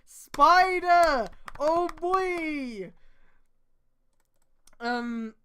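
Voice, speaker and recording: A woman's voice: sits high, expressive, very slow, pushed and bright. A woman streamer reading aloud and adding exasperated, sweary mocking commentary.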